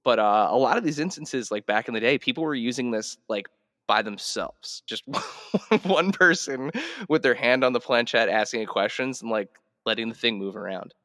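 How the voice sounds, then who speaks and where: A man hosting a casual podcast, explaining history in a halting, conversational way. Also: mid-range voice, a bit bright, no echo, clean studio sound, big-room sound. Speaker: podcast host.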